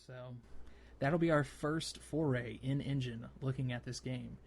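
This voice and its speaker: A man's voice: mid-range voice, measured pace, gravelly, a little mellow. A man hosting a gaming podcast, explaining things in a casual, conversational way, with faint noise behind him. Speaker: podcast host.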